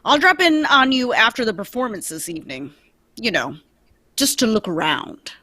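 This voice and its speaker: A woman's voice: a little high, big pitch swings, normal speed, pushed and bright, dry recording, full character voice. A woman reading a blunt male police detective in a live old-time radio table read, firm and questioning. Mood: stern.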